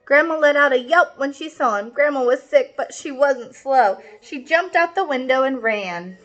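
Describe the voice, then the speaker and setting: A woman's voice: sits high, normal speed, a bit bright, highs cut off. A woman storyteller reading a picture book aloud for children, with casual asides.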